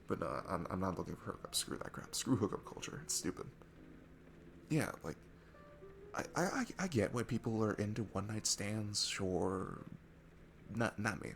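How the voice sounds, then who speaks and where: A man playing a shy, nervous, sweet guy at a bar in an intimate ASMR roleplay, stammering and rambling apologetically in a low, gravelly, breathy voice. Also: fairly bright tone, slight background noise, heavily acted. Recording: music behind the voice. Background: music.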